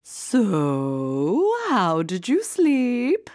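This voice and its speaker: A woman voicing a fussy, set-in-her-ways queen in a storybook, high and squealing with drawn-out words. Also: wildly swooping pitch, darkish tone, no echo, clean studio sound, heavily acted. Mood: gleeful.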